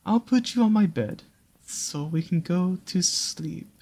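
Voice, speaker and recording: A voice actor playing a sly, possessive naga monster in an intimate roleplay, speaking in an unhurried, soothing, coaxing way with doting pet names. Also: medium pitch, darkish tone, heavily acted. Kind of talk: slow intimate roleplay audio with storytelling.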